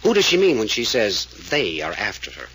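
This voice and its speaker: Blunt, animated radio detective. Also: male voice, fairly low pitch, a little fast, husky, exaggerated voice. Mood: pressing, grave. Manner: firm, probing, matter-of-fact. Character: blunt, insistent male private investigator. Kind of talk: 1940s radio drama acting. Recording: noisy vintage radio recording.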